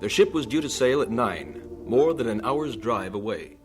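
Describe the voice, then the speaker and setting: A man's voice: mid-range voice, expressive, moderate pace. A man narrating a scripted radio drama as the storyteller between scenes. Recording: radio studio recording with music underneath. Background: clear music.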